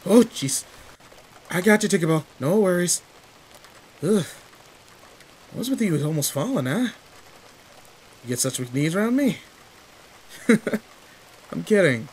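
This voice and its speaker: A man's voice: high voice, slow pace, pauses often, a little hiss or hum, rough recording. A man playing a tall, protective, secretly sweet teenage delinquent in an intimate roleplay audio drama, talking in casual, teasing slang. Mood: playful teasing. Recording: steady rain ambience behind voice. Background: rain in a forest.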